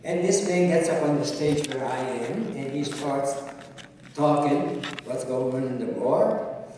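An older man from Czechoslovakia whose first language is Hungarian, telling his life story as a guest speaker on an auditorium stage, in a measured storytelling way that speaks straight to the audience. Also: medium pitch, mellow, slight background noise. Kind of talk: personal testimony talk. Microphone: handheld mic, recorded from audience. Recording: distant echoey auditorium recording.

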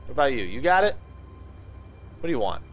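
A man's voice: medium pitch, very animated, brisk, fairly bright tone, muffled recording. A man giving casual live video game commentary, thinking aloud and swearing as he chats with viewers. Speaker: livestream host playing a video game. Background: game music.

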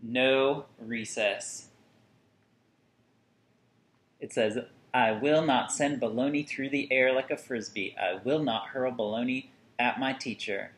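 A man in his thirties reading a picture book aloud to children with deliberate, singsong phrasing and dramatic emphasis. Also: mid-range voice, a bit bright. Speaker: children's story reader. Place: small furnished room at home, indoors. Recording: roomy indoor echo.

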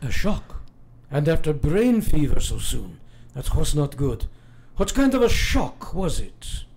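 Radio drama professor: older, eccentric, solemn, very animated intonation, creaky edge, quaint formal phrasing. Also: male voice, fairly high pitch, unhurried, exaggerated voice. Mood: grave, courteous. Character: eccentric, grave, older male foreign professor and vampire hunter.

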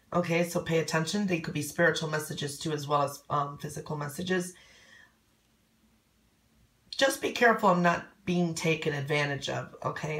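Hoarse woman with a deep voice, chatty coffee-cup fortune reader, relaxed and rambling. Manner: loose and conversational, many "um"s and "okay?"s. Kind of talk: casual fortune reading.

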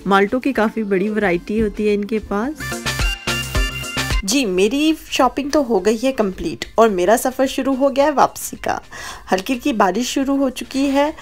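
A woman's voice: fairly high pitch, normal speed, soft background music. A woman from Pakistan casually narrating a grocery shopping vlog as she walks the aisles, pointing out items to viewers. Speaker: vlogger. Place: supermarket aisles and streets in the UK.

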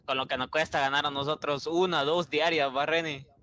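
A man's voice: fairly high pitch, steady tone, a little fast, pushed and bright, a little hiss or hum. A man in a gaming voice chat, teasing and joking with his friends.

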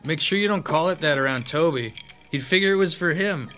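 A man's voice: fairly high pitch, fairly quick, bright, forceful tone, soft background music. A man acting a curious, joking young student newcomer in an audio drama.

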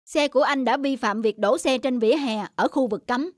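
A woman's voice: high-pitched, normal speed, no room sound, studio quality. A woman voicing a dutiful young male cartoon policeman, formal and firm. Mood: stern, official. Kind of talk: cartoon dubbing. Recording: music under the dialogue.

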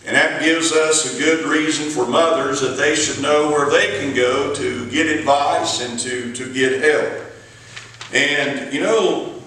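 A man's voice: on the high side, bright, forceful tone. A middle-aged man with a Southern US accent, an evangelist preaching an evening church sermon in a folksy storytelling way, stretching out his phrases, recorded in a small church sanctuary from a distance. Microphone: pulpit mic from a distant camera. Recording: echoey church room, mic at a distance.

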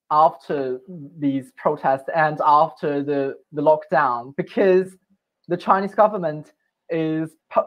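Halting man with an altered voice. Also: on the high side, muffled recording. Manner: halting, pausing, repeating words. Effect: voice altered for anonymity. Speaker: interviewed student in a news clip.

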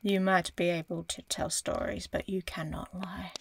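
A woman voicing an aloof, curious, teasing female dragon in a fantasy ASMR roleplay, breathy and often whispering, slow and musing. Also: fairly low pitch, steady tone, a little hiss or hum. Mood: intrigued, pondering. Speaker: audio roleplay performer.

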